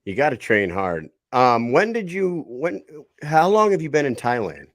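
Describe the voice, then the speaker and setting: A man's voice: mid-range voice, very animated, moderate pace, fairly bright tone, no room sound, clean studio sound. A man hosting a casual fight-talk show, chatty and rambling, often restarting his sentences. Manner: chatty, rambling, self-correcting. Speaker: host.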